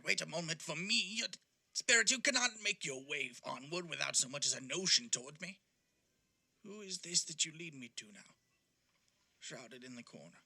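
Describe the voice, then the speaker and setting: An actor playing an anxious, repentant old miser in an audio drama, with a stammering, pleading delivery. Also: male voice, fairly high pitch, vocal fry, bright, forceful tone, heavily acted. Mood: confused, pleading. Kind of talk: audio drama acting of a Victorian classic.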